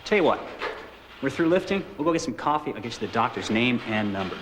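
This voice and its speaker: A brisk, sociable male friend played by an actor in a scripted drama. His breezy speech swings widely in pitch, recorded with clear background noise. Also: very animated, close to an everyday voice. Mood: friendly. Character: friendly, brisk gym buddy recommending a doctor. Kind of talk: scripted casual dialogue.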